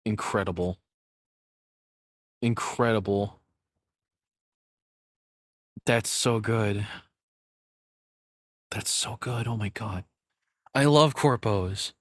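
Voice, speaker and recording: A man's voice: on the low side, extremely slow, pauses often, no room sound, clean studio sound. A young adult man doing casual reaction commentary as a content creator, rambling, sarcastic and freely swearing.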